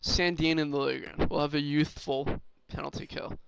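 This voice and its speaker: Raspy man thinking aloud over a game. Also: a little high, level tone, slight background noise, rough recording. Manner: thinking aloud, halting with fillers. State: slightly hoarse. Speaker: gaming streamer. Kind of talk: casual game commentary.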